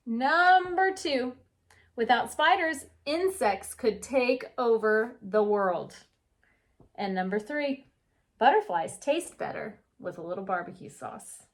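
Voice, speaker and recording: A woman's voice: a little high, expressive, slow pace. A woman teacher reading a children's storybook aloud with chatty asides, putting questions to young listeners and answering them. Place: indoor reading nook at home.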